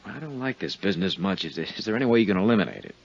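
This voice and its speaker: Shifty, genial man being questioned: folksy, nervous, lively inflection, vintage radio drama, muffled lo-fi sound. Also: low-pitched, very fast, gravelly, heavily acted. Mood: uneasy, irritated. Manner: folksy, tags questions with "huh", nervous chuckles. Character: evasive man under questioning, affable but uneasy, possible impostor. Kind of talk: 1950s radio drama acting. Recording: dull 1950s radio recording.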